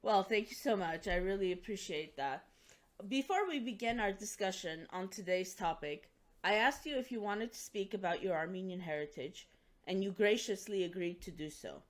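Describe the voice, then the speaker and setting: A woman's voice: medium pitch, lively intonation, moderate pace. Formal woman interview host. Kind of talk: formal scripted introduction and interview.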